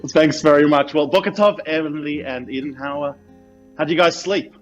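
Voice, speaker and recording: A man's voice: a little high, brisk. A man, a rabbi, chatting as a radio guest in a hesitant, word-repeating way over a lo-fi link. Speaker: senior rabbi, show guest. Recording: dull lo-fi remote link. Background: light guitar music.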